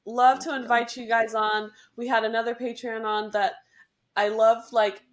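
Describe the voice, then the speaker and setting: A woman's voice: a little high, little pitch movement, normal speed. A woman hosting a podcast, chatting in a bubbly, rambling way. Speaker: podcast host. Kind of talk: casual interview chat.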